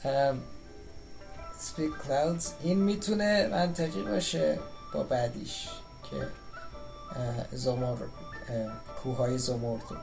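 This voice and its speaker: A man's voice: mid-range voice, fairly even intonation, fast talker, vocal fry, music in the background, rough recording. A man who speaks Persian natively, giving joking, sarcastic casual commentary as he streams a game.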